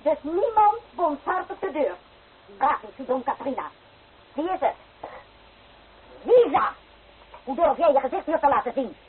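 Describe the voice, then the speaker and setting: A woman's voice: high-pitched, big pitch swings, a little slow, long pauses. An actress in a radio drama playing a stern, unforgiving old grandmother who scolds imperiously. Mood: indignant. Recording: tinny, noisy old radio recording.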